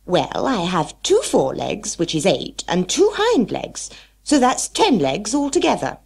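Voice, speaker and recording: A woman's voice: on the low side, huge pitch swings, a little slow, gravelly, darkish tone, husky, pitched above the performer's own voice, full character voice. Woman playing a sly, teasing Cheshire Cat. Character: sly, whimsical grinning male Cheshire Cat.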